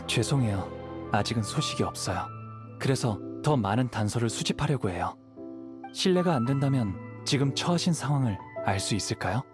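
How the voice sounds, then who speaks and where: Casting: man for composed young swordsman-investigator in a game, mid-pitched, polite and measured, over clear music. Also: close to an everyday voice. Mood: gentle, reassuring. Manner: calm, courteous, measured. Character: calm, courteous young swordsman investigating a mystery. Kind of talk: video game voice acting.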